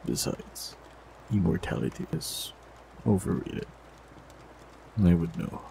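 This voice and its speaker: A man's voice: sits low, takes it slowly, vocal fry, exaggerated voice, low-quality recording. A man voicing an ancient immortal male forest warlock in an audio roleplay, speaking with dry, teasing humor. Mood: playful. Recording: steady rain noise behind voice. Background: rain.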